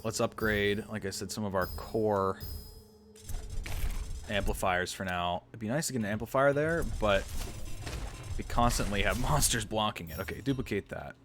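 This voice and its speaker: Streamer, male, lowish voice, offhand running commentary, faint music underneath. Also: normal speed, long pauses, fairly bright tone. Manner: thinks aloud, answers chat, self-mocking asides. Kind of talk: casual gameplay livestream commentary.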